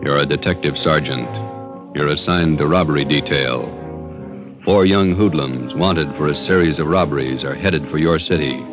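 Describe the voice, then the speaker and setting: Extremely deep, grave male radio narrator. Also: normal speed. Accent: American. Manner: grave, terse, portentous. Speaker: programme narrator. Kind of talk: 1950s radio scripted narration. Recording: muffled vintage radio with loud music.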